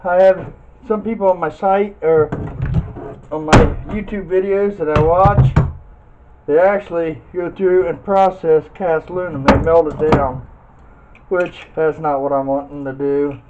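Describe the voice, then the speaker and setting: A man's voice: a little high, steady tone, unhurried. Phone-like, noisy recording of folksy man. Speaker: scrap metal hobbyist demonstrating teardown. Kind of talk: casual hands-on tutorial narration. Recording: muffled phone-like audio, loud background noise.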